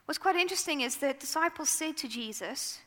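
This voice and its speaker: A woman with a South African accent preaching a sermon into a microphone, telling the story in a relaxed, conversational way. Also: sits high, fairly quick, in a large hall.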